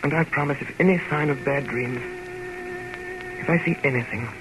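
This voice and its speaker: An actor playing an earnest, sombre gentleman diarist, measured and dramatic, in 1930s radio narration style. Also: medium pitch, music in the background, natural voice. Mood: soothing. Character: earnest, sombre gentleman reading from his private journal. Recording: noisy 1930s radio recording.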